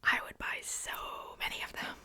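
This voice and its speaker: A woman speaking in a breathy, unvoiced whisper in a casual ASMR show-and-tell style, hushed and informal. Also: normal speed. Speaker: ASMR video creator.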